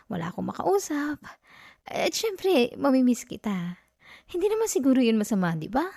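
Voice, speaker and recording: A woman's voice: mid-range voice, warm tone, no room sound, clean studio sound. Isang babaeng Pilipina ang gumaganap bilang batang girlfriend na estudyante sa ASMR roleplay, clingy, possessive at malambing na nanunukso at nakikiusap, may punto ng Pilipino. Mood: defensive, pleading. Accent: Filipino. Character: clingy, possessive young student girlfriend, sweetly manipulative. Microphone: close microphone, dry sound.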